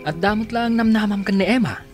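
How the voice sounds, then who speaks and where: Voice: mid-range voice, fairly quick, music in the background, natural voice. A performer playing a blunt, grumbling male friend in an Ilocano radio drama.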